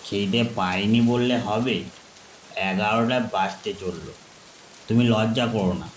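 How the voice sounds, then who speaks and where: A gentle older village man, played by a male storyteller speaks in a mid-pitched, hospitable and coaxing way. The sound is echoey, with faint rain noise behind it. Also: unhurried, lightly acted. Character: kindly insistent older village man living alone.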